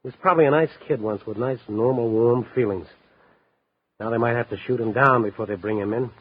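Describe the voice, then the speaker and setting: A man's voice: mid-range voice, brisk, breathy edge, lightly acted. Man acting a world-weary hard-boiled radio reporter. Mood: reproachful. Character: world-weary male newspaper reporter on the night beat. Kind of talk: 1950s radio drama acting and first-person narration. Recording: muffled vintage radio recording with music.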